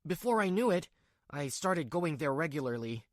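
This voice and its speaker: A woman's voice: low voice, sing-song, normal speed, dry recording, studio quality. A woman voicing a weak-willed, two-faced young husband in a manga drama, with a pleading, hesitant delivery. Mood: apologetic.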